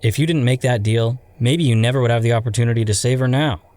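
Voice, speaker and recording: A man's voice: mid-range voice, fast talker, darkish tone, close to an everyday voice. A man playing a loyal, somewhat unsure young hero with a casual manner in an audio drama. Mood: reassuring. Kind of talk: radio drama acting.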